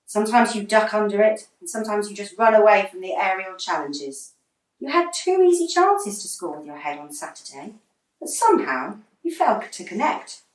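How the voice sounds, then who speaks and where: A woman's voice: mid-range voice, natural voice. A woman acting a blunt, exasperated female football manager in a radio play, scolding and emphatic. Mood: stern, exasperated. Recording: roomy, distant microphone.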